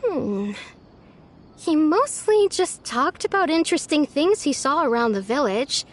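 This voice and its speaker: Gentle, lonely young villager: soft, earnest and wistful, with a fairly high voice. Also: female voice, big pitch swings, a little slow, slight background noise. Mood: reflective. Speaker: game villager character. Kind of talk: video game voice acting. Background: faint game music.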